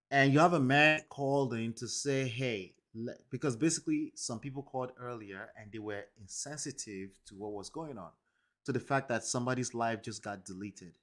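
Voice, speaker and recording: A man hosting a live stream, talking emphatically to his viewers and often checking in with "okay?" and "right?". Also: medium pitch. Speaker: livestream host. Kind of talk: casual commentary to a live chat.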